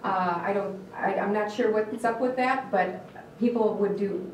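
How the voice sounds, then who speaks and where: A woman's voice: mid-range voice, a little slow, a little hiss or hum. Michigan woman lecturing in an echoey room. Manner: earnest, questions the audience, restarts words. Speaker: dietitian giving a talk. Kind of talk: live educational talk to an audience. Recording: echoey room picked up from a distance.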